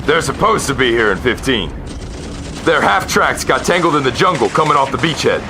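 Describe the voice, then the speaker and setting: An actor in an amateur war audio drama playing a steady, commanding army sergeant. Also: male voice, medium pitch, a little fast, background noise, natural voice. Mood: steadier.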